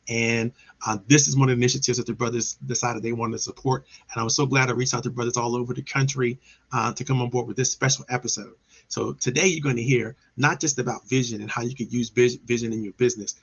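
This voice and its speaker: A man's voice: mid-range voice, a bit bright, breathy edge. Upbeat man hosting over a muffled call. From Maryland. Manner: upbeat, enthusiastic, with frequent restarts. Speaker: host. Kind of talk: casual online business show.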